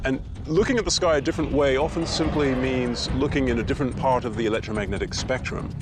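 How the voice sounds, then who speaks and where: A man speaking as an expert in a documentary interview, explaining in a measured way. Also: mid-range voice, background noise.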